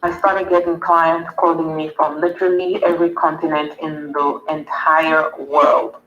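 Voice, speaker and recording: A woman's voice: low voice, little pitch movement, normal speed, a crowd in the background. Earnest Nigerian woman, slightly dull audio. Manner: earnest, repeats words for emphasis. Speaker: wellness coach and summit speaker. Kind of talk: reading and answering interview questions.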